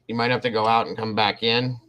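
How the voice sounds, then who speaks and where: A man's voice: fairly low pitch, level tone, quick pace, a bit bright. A man hosting a casual livestream, rambling and restarting his sentences as he talks to his viewers. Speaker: livestream host. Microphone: computer mic on a livestream.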